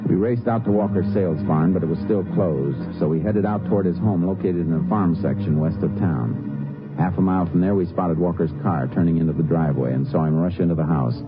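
An actor playing a steady, shrewd Texas Ranger in a 1950s radio drama, speaking and narrating in a terse, businesslike deadpan. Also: male voice, deep voice, brisk, natural voice. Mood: matter-of-fact narration. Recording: muffled old radio recording with music.

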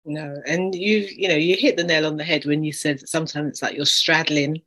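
A woman's voice: low-pitched, steady tone, brisk, a bit bright, poor audio. A woman co-hosting a casual podcast chat, speaking earnestly and using vivid images. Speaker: podcast co-host.

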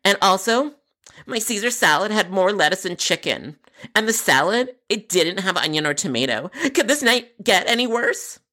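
Entitled female food delivery customer, played by the narrator: whiny, indignant, argumentative, pitched up and swooping. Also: animated, normal speed, sharp tone. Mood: indignant complaining.

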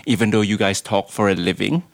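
A man's voice: lowish voice, a little fast, creaky, dry recording. A man from Malaysia, a podcast guest, talking in a humble, emotional, self-deprecating way. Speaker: guest, production manager. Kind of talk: casual podcast chat.

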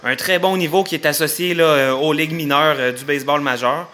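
A man's voice: a little high, fairly even intonation, brisk, sharp tone, a little hiss or hum. A man with a Quebec accent giving casual answers as an interview guest who runs a sports club. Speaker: baseball club vice-president, interview guest.

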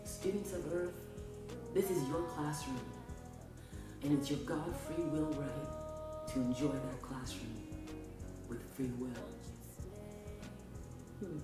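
A woman's voice: deep voice, slow, long pauses. A woman from Canada hosting a podcast, speaking in an earnest, emphatic, preacher-like way as she delivers a motivational spiritual monologue. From Canada, with family from Jamaica. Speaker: podcast host. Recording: roomy echo, distant mic, music behind. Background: music.

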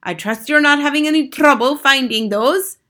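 A woman's voice: sits high, moderate pace, pushed and bright, pitched above the performer's own voice. A woman voicing an imperious witch leader from a storybook, bossy, scornful and relishing every instruction. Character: imperious, triumphant Grand High Witch, bossy villain. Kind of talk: storybook character read aloud.

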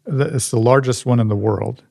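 A man, showing and explaining a museum object in a halting, restarting way. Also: mid-range voice, gravelly, dark tone, no echo, studio quality.

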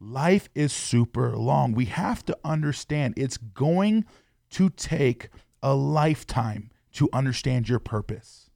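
A man's voice: mid-range voice, darkish tone, dry recording. Earnest man with a dry close sound. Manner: earnest, encouraging, addresses listeners as y'all. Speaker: podcast host. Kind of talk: motivational podcast talk.

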